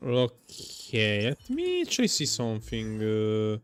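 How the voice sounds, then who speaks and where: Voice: mid-range voice, huge pitch swings, slow pace. A video game streamer giving casual gameplay commentary, thinking aloud hesitantly and often repeating words. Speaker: gaming streamer.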